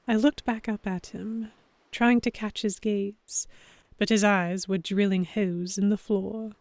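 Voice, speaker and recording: Woman playing a young anxious heroine narrating an audio drama, medium pitch, measured, earnest, super dry close sound. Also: steady tone, a little mellow, dry recording, natural voice. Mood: introspective. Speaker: narrator and lead character. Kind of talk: first-person dramatic audiobook-style narration.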